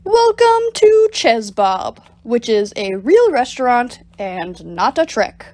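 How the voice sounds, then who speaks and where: A woman's voice: on the high side, big pitch swings, slow, warm tone, no room sound. A woman voicing a lazy, hungry, scheming male alligator from a storybook, pleading and boastful in an exaggerated way. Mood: sly welcome.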